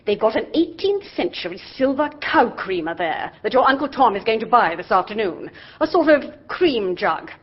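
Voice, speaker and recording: An actress playing a brisk, bossy middle-aged aunt in a radio drama. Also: female voice, a little high, sing-song, slightly rough. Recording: dull lo-fi audio.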